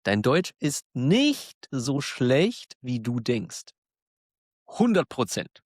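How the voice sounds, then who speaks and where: A man with a German accent, hosting a podcast for learners, talking slowly and clearly in a warm, encouraging way and laughing now and then. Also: medium pitch, sing-song, no echo, studio quality. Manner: encouraging, self-deprecating, laughs often. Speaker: German teacher, podcast host. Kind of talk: slow, clear monologue for learners.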